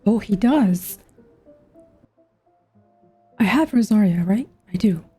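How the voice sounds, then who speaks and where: A woman's voice: medium pitch, lively intonation, slow, husky. A woman giving casual playthrough commentary on a game, musing aloud and asking questions as she goes. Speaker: game streamer reacting to a story quest. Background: soft game music.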